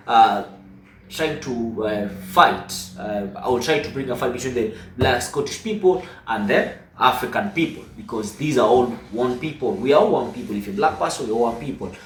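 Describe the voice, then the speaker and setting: A man hosting a reaction commentary channel, speaking in an earnest, measured and slightly hesitant way. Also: medium pitch, roomy sound, a little hiss or hum. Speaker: channel host.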